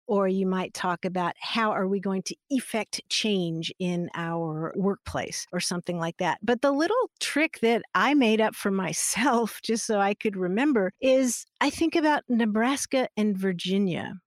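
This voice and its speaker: A middle-aged woman explaining grammar rules in a relaxed, friendly way, laughing easily as she talks. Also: mid-range voice, unhurried, hardly any pauses, no room sound, clean studio sound. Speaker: grammar expert guest. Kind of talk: conversational explanation on a podcast.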